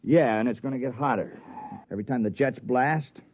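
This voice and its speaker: A man's voice: medium pitch, brisk, natural voice. An actor playing a gloomy, fretful male spaceship crewman who grumbles in 1950s radio drama style. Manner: downbeat, grumbling. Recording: dull vintage radio recording.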